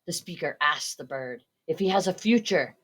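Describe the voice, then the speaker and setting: A young woman with a slightly low voice narrates a story from a script. She reads as a student would, taking in both narration and quoted lines. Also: animated, moderate pace, a bit bright. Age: teens or young adult. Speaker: student reader.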